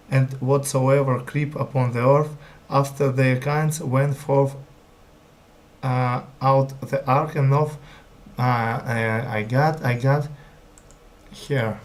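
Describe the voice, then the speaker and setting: A male language learner reads scripture aloud at a slow pace. English is not his native language. He moves haltingly, often stumbling and going back over words. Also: medium pitch, a little mellow. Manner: hesitant, stumbling, repeats words.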